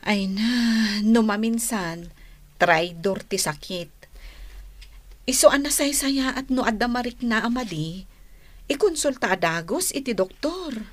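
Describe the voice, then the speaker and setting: A woman's voice: on the high side, lively intonation, a little slow, no room sound. A woman playing a sensible, sympathetic elder-sister fruit trader in an Ilocano radio drama.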